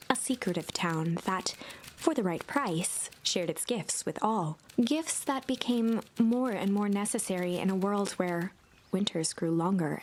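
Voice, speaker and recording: A woman's voice: mid-range voice, a little hiss or hum, low-quality recording. A woman reading scripted, storybook-like narration for a video game playthrough.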